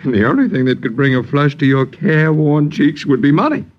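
Wordy sarcastic american boarder, 1950s radio play, theatrical and mocking, pitch all over the place. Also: male voice, animated, brisk, highs cut off. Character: sardonic, wordy male boarder mocking his miserly landlords. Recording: 1950s radio broadcast recording.

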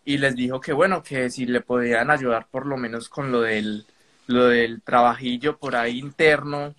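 A man's voice: mid-range voice, steady tone, normal speed, a bit bright. A man with a Colombian accent, speaking as a caller in a casual, polite, somewhat hesitant and roundabout way. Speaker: caller on a live stream. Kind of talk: casual spoken account.

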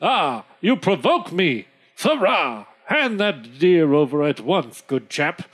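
A man's voice: a little high, very animated, unhurried, slightly rough, heavily acted. A man voicing a pompous, blustering English country squire who bellows and harumphs. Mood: outraged. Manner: bellowing, huffy, full of harumphs.

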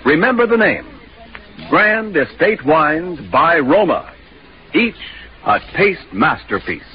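Lively 1940s American radio ad man. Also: fairly high pitch, slow pace. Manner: polished, formal sales delivery. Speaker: radio commercial announcer. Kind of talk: 1940s radio advertisement read. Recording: noisy, dull 1940s radio recording.

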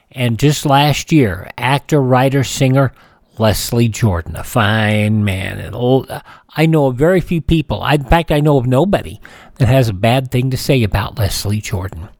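Man, radio host, conversational, deliberate pauses, mid-range pitch, close dry studio sound. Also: dry recording. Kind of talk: scripted but casual radio show presenting.

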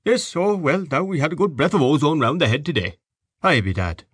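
A boisterous middle-aged Irish father holding court at dinner, as a male reader puts him on. The voice sits noticeably high and bright with mirth, hearty and teasing. It comes across as a clear put-on character in a dry storybook reading. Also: expressive, fairly quick, no room sound.

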